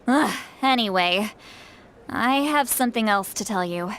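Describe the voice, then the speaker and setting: A woman playing a stern, huffy grandmother with a soft spot for her grandson in a dramatic video game. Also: mid-range voice, expressive, measured pace, a little hiss or hum. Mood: gruffly softened.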